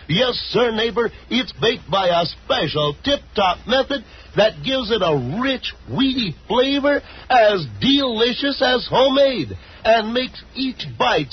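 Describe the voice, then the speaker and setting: A man's voice: high-pitched, husky. A man announcing in 1940s radio style, reading serial narration and ads with emphatic, theatrical delivery. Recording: muffled old radio recording.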